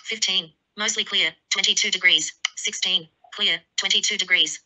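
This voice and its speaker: A woman's voice: medium pitch, steady tone, normal speed, bright, forceful tone, poor audio. A synthetic woman's voice reading out as a phone assistant, clipped and impersonal. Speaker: phone voice assistant and screen reader. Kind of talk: synthetic readout.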